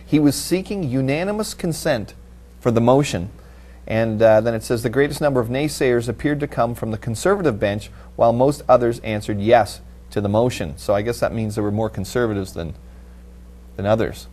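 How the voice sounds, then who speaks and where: A middle-aged man hosting a studio panel talk, reading out and commenting on news in a halting way. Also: mid-range voice, darkish tone. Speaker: TV panel host. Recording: audible background noise with hum.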